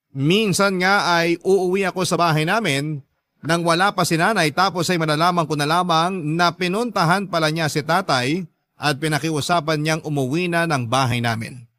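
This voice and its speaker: High-pitched Filipino male storyteller. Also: bright, forceful tone, no room sound. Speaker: radio drama narrator and host. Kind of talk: scripted dramatic first-person storytelling.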